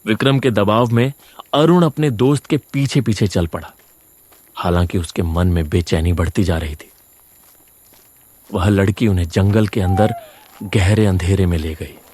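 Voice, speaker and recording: A man's voice: low-pitched, unhurried, pauses often, darkish tone, dry recording. A man reading a scripted horror story as a dramatic narrator.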